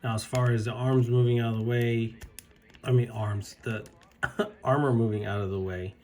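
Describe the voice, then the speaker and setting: A man casually reviewing an action figure, his voice a bit raspy as he recovers from being ill, talking in a halting way with fillers and admiring asides. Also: mid-range voice, steady tone, a little mellow. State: slightly raspy from recovering illness. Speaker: toy reviewer.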